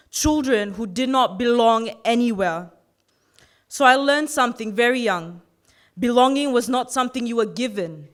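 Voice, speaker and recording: A woman in her twenties from Fiji giving an earnest, measured personal speech in a competition. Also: a little high. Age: 20s. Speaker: youth orator.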